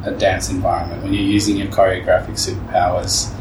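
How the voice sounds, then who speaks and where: Woman host, extremely deep voice, curious and teasing, heard from a distance in an echoey room. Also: little pitch movement, fast, noisy background. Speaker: interviewer. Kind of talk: casual interview.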